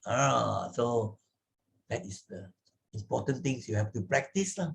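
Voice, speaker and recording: Slow, animated Malaysian man teaching. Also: medium pitch, creaky. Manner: didactic, deliberate, emphasizes and repeats key words. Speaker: Buddhist Sunday school teacher. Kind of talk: explanatory moral lesson over slides.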